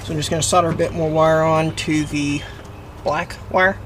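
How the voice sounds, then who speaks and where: A man's voice: a little high, level tone, normal speed, a bit bright, slight background noise. A man hosting a how-to video, talking through a hands-on job in a casual, plain-spoken, explanatory way. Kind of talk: casual hands-on DIY tutorial.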